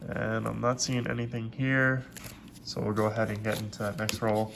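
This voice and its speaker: A man with a stuffed-up, congested voice hosting a coin roll hunting video, giving steady, methodical play-by-play from his desk. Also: fairly low pitch, moderate pace, gravelly, very noisy. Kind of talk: casual hands-on commentary. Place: at a desk indoors.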